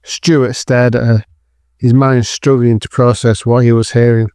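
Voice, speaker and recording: Male narrator, scripted dramatic storytelling, medium pitch, dry close recording, audio drama. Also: flat delivery, unhurried, a little mellow, clear, resonant tone, dry recording.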